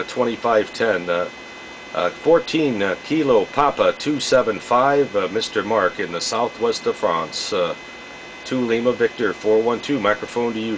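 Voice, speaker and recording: Low-voiced man on a noisy radio contact. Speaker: amateur radio operator. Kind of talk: casual radio contact chat.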